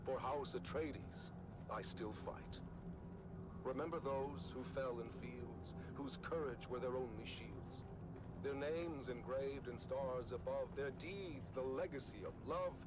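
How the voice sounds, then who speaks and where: A solemn male soldier-bard, acted by a man mourns in measured game verse. He takes his time with every phrase, while loud ambience and engine noise surround him. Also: medium pitch, lightly acted. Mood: mournful. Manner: solemn, measured, rhyming delivery.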